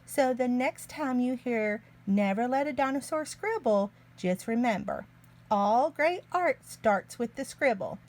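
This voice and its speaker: A woman reading a picture book aloud to young children in an expressive, deliberate way. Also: fairly high pitch. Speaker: children's story reader, early learning teacher.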